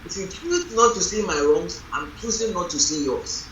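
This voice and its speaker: A woman reverend leads a panel talk with a loud, strong voice and a bright edge. She tells her stories emphatically. The mic sits far from her in a roomy hall with faint background noise. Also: lowish voice, animated, normal speed, lo-fi sound. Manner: emphatic, storytelling. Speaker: reverend leading a panel on marriage. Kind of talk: panel discussion.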